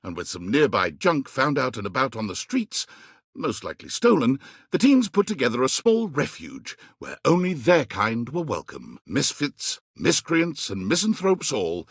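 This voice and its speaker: A man's voice: medium pitch, huge pitch swings, normal speed, slightly rough, no room sound, studio quality, exaggerated voice. A man playing a dramatic, wry, mischievous narrator in a video game, with a theatrical and teasing delivery. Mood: wry storytelling. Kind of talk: scripted storybook-like narration.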